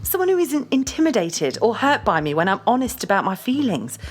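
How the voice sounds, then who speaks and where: A woman's voice: a little high, very animated, brisk. An actress playing a blunt, domineering, self-assured girlfriend who scolds and swears in an audio drama. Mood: pressing, indignant. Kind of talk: dramatic audio play dialogue.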